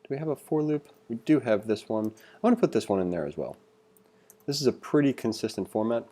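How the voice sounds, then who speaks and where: A man's voice: medium pitch, sing-song, a little fast, dark tone. A man giving a casual screencast tutorial, reading along and thinking aloud in an informal, conversational way as an instructor.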